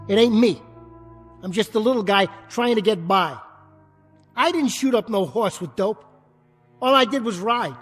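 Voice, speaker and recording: An actor playing a small, bitter, hot-tempered jockey in a radio drama, with a streetwise, combative, slangy way of talking. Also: male voice, very high-pitched, slightly rough, heavily acted.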